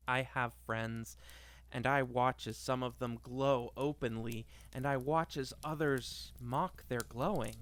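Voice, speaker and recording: Male monologue reader, slow and deliberate, dramatic pauses, slightly noisy recording. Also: mid-range voice, a bit bright.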